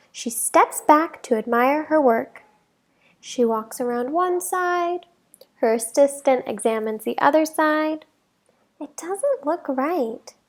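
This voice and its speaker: A woman with a high voice reads a children's storybook aloud. She takes her time, phrasing each line deliberately and leaning on key words for young listeners. The delivery is expressive, like a teacher at story time. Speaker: teacher reading a picture book aloud.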